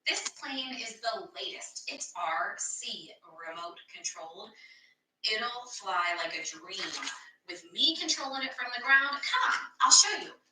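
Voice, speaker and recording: A woman's voice: a little high, sing-song, measured pace, noisy background. A woman voicing a cocky, impatient boy inventor in a storybook, boastful and scoffing toward his brother. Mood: confident, bossy. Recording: echoey room, distant microphone.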